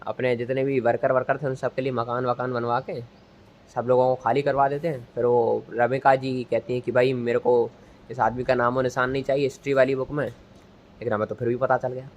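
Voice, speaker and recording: A man's voice: mid-range voice, level tone, fairly quick. A young man gives a casual, rambling and jokey spoken recap of a film, acting out bits as he tells it. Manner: jokey, rambling storyteller, acts out dialogue. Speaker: movie reviewer. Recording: noisy ambient location recording.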